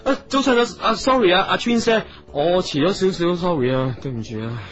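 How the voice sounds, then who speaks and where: High, swooping, timid male performer. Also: lively intonation, a little fast, fairly bright tone. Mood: nervous, grateful. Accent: Hong Kong Cantonese. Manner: hesitant, stammering, apologetic. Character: timid, apologetic male performer, anxious and grateful. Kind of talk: comedic Cantonese radio drama acting. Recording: slightly muffled radio sound with music.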